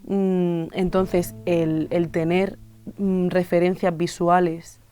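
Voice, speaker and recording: Low, mellow-voiced Spanish woman in her twenties. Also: level tone, faint music behind. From Spain. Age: 20s. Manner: thoughtful, many pauses and fillers. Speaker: illustrator and musician interviewee. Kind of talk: reflective interview monologue.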